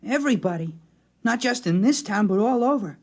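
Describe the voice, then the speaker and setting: A performer playing a quiet, wondering small-town boy in a 1950s radio drama. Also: medium pitch, animated, fairly quick. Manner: simple, wondering. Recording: restored vintage radio recording.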